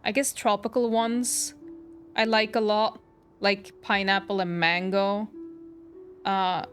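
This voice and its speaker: A woman's voice: mid-range voice, level tone, slow pace. A woman chatting casually as she streams a game, reacting to it and answering viewers in a chatty way. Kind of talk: casual live stream chat while playing. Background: soft game music.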